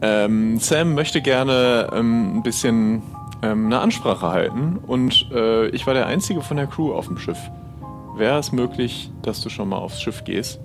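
Man, animated intonation, casual role-play dialogue, thinking aloud, faint music behind. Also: mid-range voice, a little slow. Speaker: player in an improvised pirate audio drama.

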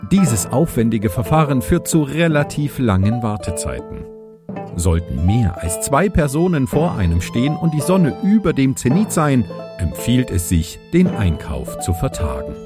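A man's voice: mid-range voice, very animated, normal speed, music in the background, close to an everyday voice. A man playing a pirate narrator who reads a formal, tongue-in-cheek guide in a theatrical way. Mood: theatrical, deadpan.

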